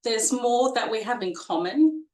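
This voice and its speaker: A woman in her forties with a broad Australian accent talks through her career in an easy, rambling way. She fills her pauses and restarts her sentences often, and her voice carries a noticeable room echo. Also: fairly high pitch, darkish tone. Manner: chatty, with frequent fillers and restarts. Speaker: guest perioperative nurse. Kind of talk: casual interview conversation.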